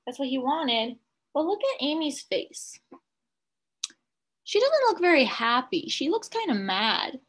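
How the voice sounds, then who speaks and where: A woman teacher reading a picture book aloud to young children, pausing to explain things simply and ask questions. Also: high voice, big pitch swings, fairly bright tone. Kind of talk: children's read-aloud with commentary.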